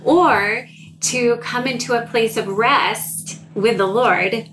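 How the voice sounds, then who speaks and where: Woman guest: smooth, soothing, calm delivery, slight room noise. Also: fairly high pitch, slow pace, low-quality recording. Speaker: podcast guest leading a prayer practice. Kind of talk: casual chat.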